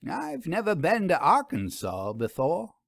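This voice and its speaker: A woman's voice: lowish voice, huge pitch swings, measured pace, gravelly, dry recording, clean studio sound, pitched above the performer's own voice, exaggerated voice. A man voicing a persistent, probing woman newspaper reporter in a storybook reading. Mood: conceding.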